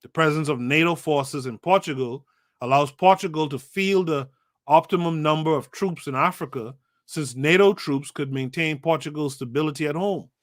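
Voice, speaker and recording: A man's voice: a little high, normal speed. Emphatic man reading aloud on a livestream. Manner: emphatic, repeats phrases for effect; talks to a live chat audience. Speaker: podcast host. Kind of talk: reading a book aloud with live commentary.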